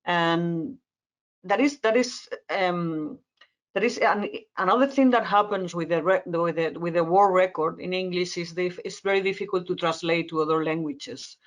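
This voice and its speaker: Halting Spanish-accented woman explaining. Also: on the low side. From Spain. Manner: halting, word-searching explanation with repetitions. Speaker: expert panelist. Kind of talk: conference panel discussion.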